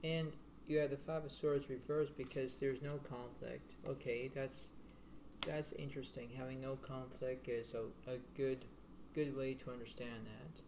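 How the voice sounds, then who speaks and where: A man giving a casual tarot reading to the viewer in a halting, repetitive, rambling way. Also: flat delivery. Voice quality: low-volume voice. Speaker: tarot card reader. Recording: noisy homemade recording with room ambience.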